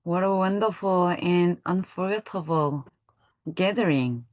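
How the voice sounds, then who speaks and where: A woman's voice: fairly low pitch, unhurried, no echo. A woman from South Korea, a school head speaking heartfelt words over a video call. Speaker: school head and board member.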